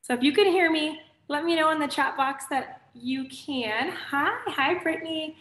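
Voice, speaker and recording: A woman from Pittsburgh hosting a live teaching webinar, her voice hoarse and raspy from allergies, talking in an upbeat, chatty way. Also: high-pitched, moderate pace, a bit bright, roomy sound. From Pittsburgh, USA. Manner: upbeat, chatty, often says "awesome". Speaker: webinar host and educator.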